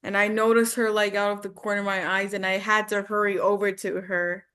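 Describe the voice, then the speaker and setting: A woman's voice: mid-range voice, flat delivery, a little fast, fairly bright tone, smooth. A woman studying voice acting, giving casual answers in a hesitant way as she thinks aloud. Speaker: voice acting student. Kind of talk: casual conversational answers in a lesson.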